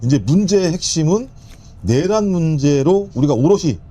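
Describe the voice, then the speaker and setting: A man giving political commentary as a panel guest, listing points rapidly and emphatically. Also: a little high, lively intonation, dark tone.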